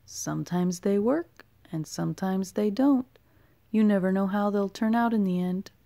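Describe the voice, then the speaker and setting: A woman voicing a kindly, mysterious male wizard in a storybook, speaking in a measured, riddling way. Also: mid-range voice, vocal fry, dark tone, close to an everyday voice.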